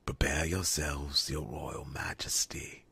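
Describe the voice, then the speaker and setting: Man acting out a breathy, drawling demon villain. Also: extremely low pitch, flat delivery, sharp tone, exaggerated voice. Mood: gleeful menace. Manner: breathy, taunting, drawn-out whispery delivery. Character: sadistic gloating demon servant of a dark queen. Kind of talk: ASMR fantasy roleplay acting.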